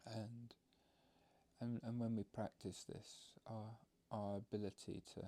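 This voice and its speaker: Slow, low, creaky-voiced man guiding meditation. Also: level tone. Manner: halting, unscripted, gentle explaining. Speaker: meditation teacher. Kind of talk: guided meditation instruction.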